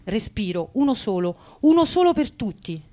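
A woman's voice: on the high side, very animated, normal speed, warm tone. A woman performing a scripted theatrical recitation. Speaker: performer in a spoken theatre piece.

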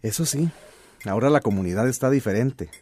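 An actor playing a reflective but determined grown son in a radio drama. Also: male voice, medium pitch, animated, brisk, a little mellow, husky. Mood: content.

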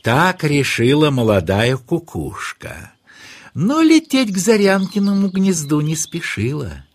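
A man narrating a scripted children's nature tale in an expressive, unhurried storyteller's manner. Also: fairly high pitch. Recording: noisy track with birdsong. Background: birdsong.

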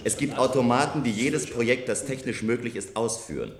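An actor playing a self-assured visionary engineer in a German science fiction radio play, speaking in measured, persuasive statements. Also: male voice, mid-range voice, crowd noise, lightly acted. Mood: confident, persuasive. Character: self-assured visionary male engineer proposing a bold tower. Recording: roomy, echoing studio radio play sound.

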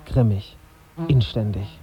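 An actor playing a brooding, irritable young journalist in a radio drama, terse in dialogue and introspective in inner monologue. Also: male voice, lowish voice, slow, muffled recording.

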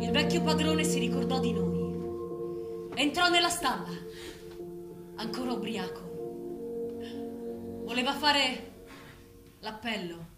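A woman's voice: high voice, roomy sound. A woman playing an oppressed farm animal in a stage drama, recounting revolution in a dramatic, declamatory way. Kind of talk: theatrical storytelling.

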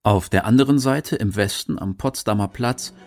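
A man's voice: lowish voice, normal speed, over music. A man reading scripted documentary narration as a radio narrator.

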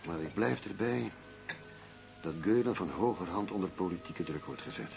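Undercover police detective, lowish voice, sardonic, stubborn, embittered, radio drama. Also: male voice, expressive, normal speed, gravelly, a little mellow, soft background music. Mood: weary, stubborn. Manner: indignant, sardonic, plain-spoken.

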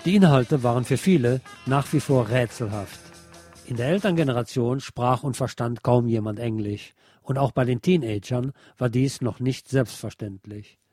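A man's voice: medium pitch, normal speed, dark tone, dry recording, faint music behind. A man presenting a scripted, lecture-like essay as a narrator. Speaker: presenter reading a radio essay.

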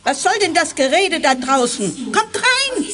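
A woman's voice: sits high, big pitch swings, measured pace, crowd noise. An actress playing a feisty, mocking old grandmother in a brusque, sardonic manner for a German children's audio drama. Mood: brusque, amused. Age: older. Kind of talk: German children's radio play acting.

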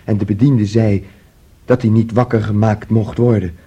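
An actor playing an anxious, boyish young man, naive and boastful, with an agitated, halting delivery in a Dutch radio play. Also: on the low side, level tone, warm tone, breathy edge, lo-fi sound. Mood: troubled, confiding. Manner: agitated, halting, repeating himself.

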